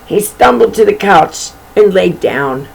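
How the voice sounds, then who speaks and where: Gravelly-voiced woman reading a storybook. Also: medium pitch, expressive, unhurried, poor audio. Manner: chatty, folksy, exclaiming and commenting on pictures. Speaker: storybook reader for children. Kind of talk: informal read-aloud storytelling with chatty asides.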